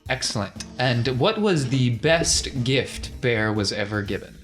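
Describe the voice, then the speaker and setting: A man's voice: medium pitch, animated, a little slow, soft background music. A man hosting a tabletop role-playing podcast as game master, with a teasing, jokey manner.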